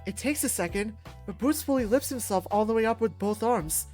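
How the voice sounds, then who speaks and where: A woman's voice: fairly high pitch, animated, a little fast, mellow, faint music behind. A woman reading a visual novel aloud as a narrator.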